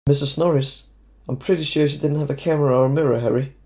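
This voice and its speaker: A man playing an anxious, blunt schoolboy wizard in an audio drama, halting and incredulous. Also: fairly high pitch, steady tone, a little mellow, natural voice. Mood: doubtful. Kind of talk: fan audio drama acting.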